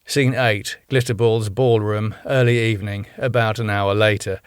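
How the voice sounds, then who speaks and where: A man's voice: lowish voice, steady tone, moderate pace, smooth, no echo, clean studio sound. British man reading stage directions. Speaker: writer reading his script.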